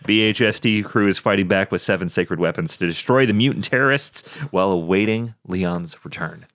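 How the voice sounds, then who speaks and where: A man's voice: sits low, brisk, a bit bright, no echo, studio quality. A man co-hosting a podcast with casual, joking and incredulous banter. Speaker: podcast co-host.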